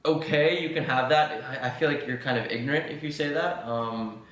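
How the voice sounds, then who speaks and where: Young male podcast host, playful and rambling, mid-pitch voice, roomy distant sound. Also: brisk, fairly bright tone. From United States. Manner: chatty, playful, many fillers and restarts. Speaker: podcast host interviewing his co-host. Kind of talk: casual podcast chat. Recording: echoey room, distant mic.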